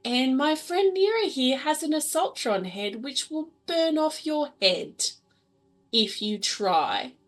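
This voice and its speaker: A woman's voice: sits high, very animated, slow. A woman playing a tabletop role-playing game, improvising in character in a playful, sweary, casual way. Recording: music behind the voice.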